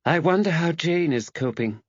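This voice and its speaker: A man's voice: fairly high pitch, lively intonation, moderate pace, no room sound, pitched above the performer's own voice. A man voicing a bossy, catty village woman with a blunt, probing manner in an audiobook, on slightly dull audio.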